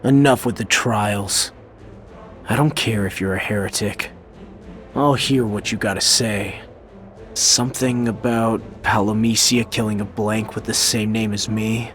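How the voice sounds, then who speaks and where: A young warrior hero, fairly low in pitch. He is blunt, dry and stubborn, with casual defiance, in a fantasy game scene over faint music. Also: fairly even intonation, a little slow, a bit bright. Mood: defiant. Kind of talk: video game cutscene acting.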